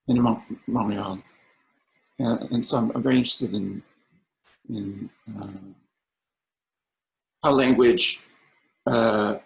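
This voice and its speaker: A man who grew up speaking Samoan, an artist answering panel questions in a halting way with many fillers and restarts. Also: medium pitch, creaky, rough recording.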